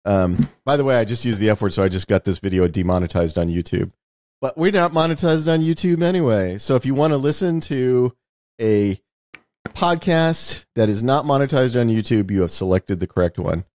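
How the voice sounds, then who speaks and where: A man's voice: mid-range voice, expressive, moderate pace, a little mellow, dry recording. A middle-aged American man hosting a casual podcast, talking in a rambling, dry, casually sweary way. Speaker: podcast host; screenwriter and author.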